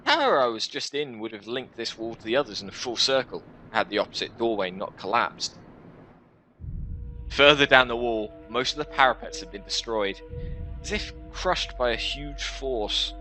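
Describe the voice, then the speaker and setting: A man with a bright, keen-edged voice narrates a fantasy game as a first-person story. His pitch sits in the middle of the male range and his delivery is expressive. Music plays softly behind him. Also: normal speed. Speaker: video game let's player reading a visual novel aloud. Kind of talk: dramatic first-person narration of game text with casual asides.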